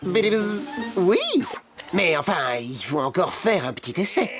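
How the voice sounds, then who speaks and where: Une femme qui joue un petit robot inventeur de dessin animé, poli et tatillon, qui ponctue ses phrases de bourdonnements. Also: female voice, on the low side, animated, a little slow. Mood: hesitant. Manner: fussy, punctuated with robotic buzzing babble. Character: fussy, polite little robot inventor with buzzing catchphrase. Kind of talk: cartoon dub acting. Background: music.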